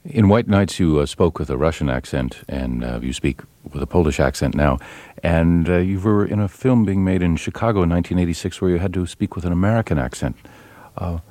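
A man asks an interview guest measured questions in a low voice, now and then pausing on fillers. The recording is dry and close. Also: warm tone, dry recording.

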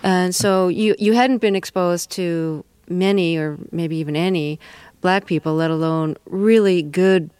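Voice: mid-range voice, fairly even intonation, a little slow, darkish tone, clean tone, dry recording. A radio host asking thoughtful, probing questions in an interview, with small restarts.

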